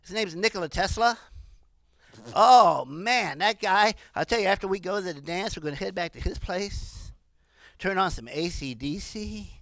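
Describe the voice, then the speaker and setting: A woman in her sixties performing a stand-up comedy monologue in an animated, rambling way. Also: medium pitch, moderate pace, no echo. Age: 60s.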